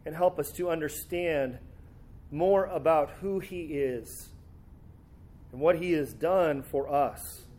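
A high-voiced pastor with a cold. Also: male voice, slow pace. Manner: relaxed, conversational, with fillers and restarts. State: sore throat and a cold. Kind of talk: sermon with scripture reading. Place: church sanctuary.

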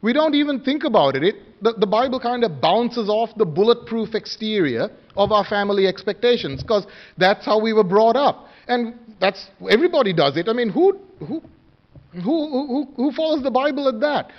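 A man's voice: sits high, expressive, normal speed, muffled recording. A man from Sri Lanka preaching a sermon, earnest and questioning, often restarting his phrases.

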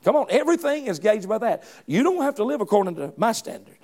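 A man's voice: sits high, very animated, fast, darkish tone. A middle-aged man preaching a sermon in a fervent, folksy way, often restarting phrases and calling out "come on.".

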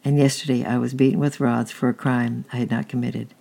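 Man reading resentful young slave, gravelly, flat. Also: medium pitch, fairly quick. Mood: plain. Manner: bitter, defiant. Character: resentful young male slave, proud, bitter.

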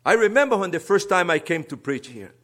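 A man's voice: sits high, big pitch swings, a little fast. Brazilian-accented man preaching a sermon. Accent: Brazilian Portuguese. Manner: earnest, swings between soft asides and emphatic rises. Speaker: guest preacher, seminary teacher.

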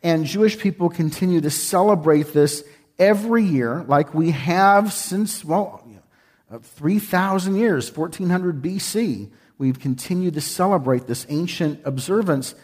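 A man's voice: on the high side, a little slow, a little mellow. A man from Texas, a guest speaker giving a folksy, joking sermon in a church. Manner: folksy, joking, sings with congregation. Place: church sanctuary.

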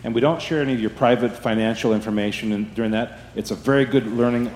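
A man's voice: mid-range voice, fast talker, a little mellow. A chatty man gives announcements to a congregation, often checking in with the crowd. His voice rings out through a large church hall with a long reverb. A faint hum of ambience sits under it. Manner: chatty, keeps asking "right?". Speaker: church staff giving announcements. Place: church sanctuary.

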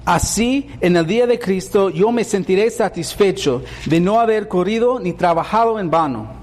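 A man's voice: high voice, darkish tone, long reverb tail. Man preaching in learner's Spanish. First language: English. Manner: conversational, asks the congregation questions. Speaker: pastor. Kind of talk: bilingual sermon.

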